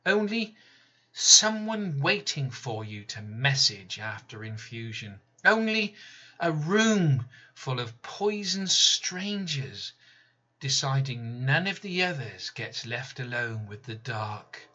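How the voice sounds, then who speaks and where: A man reading his own poem slowly, measured, with sudden loud emphatic rises and soft drops. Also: mid-range voice, sing-song. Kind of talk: slow spoken-word poetry reading. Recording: slightly dull lo-fi recording.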